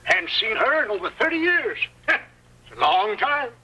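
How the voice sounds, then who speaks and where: A high, bright voice of an old Western hermit. He is excitable and friendly, full of folksy frontier exclamations, and swings widely in pitch. It comes from a vintage radio drama. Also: moderate pace, husky, full character voice. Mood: happy, excited. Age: older. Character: excitable, friendly old male hermit living alone in the West. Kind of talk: 1930s-40s Western radio acting. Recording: faintly noisy old-time radio recording.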